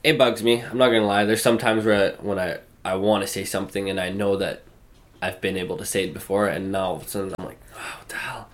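A man's voice: sits low, fairly even intonation, fast. A man whose first language is French, talking casually in an interview with many fillers.

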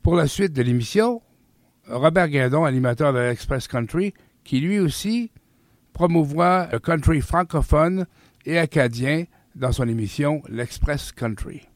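A man's voice: on the high side, animated, measured pace, dry recording. Male radio host, dry. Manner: friendly questioning, some fillers. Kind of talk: radio interview. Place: radio studio. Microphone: studio mic.

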